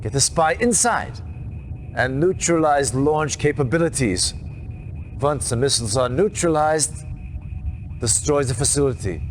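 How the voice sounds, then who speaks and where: An actor playing a grave Allied military commander, briefing troops formally and deliberately in a dramatic game cutscene. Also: male voice, medium pitch, animated, faint music behind, close to an everyday voice. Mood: stern, commanding. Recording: noisy 1990s game cutscene audio.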